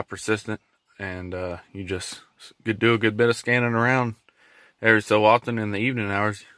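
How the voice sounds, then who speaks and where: A man talks casually through a hands-on demonstration. His delivery is folksy and laid-back, halting as he goes, like an unscripted hobbyist vlog. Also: lowish voice, sharp tone, no echo, clean studio sound. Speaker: hobbyist vlogger showing his truck radio install. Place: in and around a pickup truck in a driveway.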